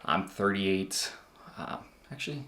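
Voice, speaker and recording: A man's voice: low-pitched, slow pace, echoey room. A man in his thirties hosting his own channel, talking casually with plenty of fillers and restarts. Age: 30s. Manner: relaxed, chatty, lots of fillers. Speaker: car channel host. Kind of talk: casual talk to camera.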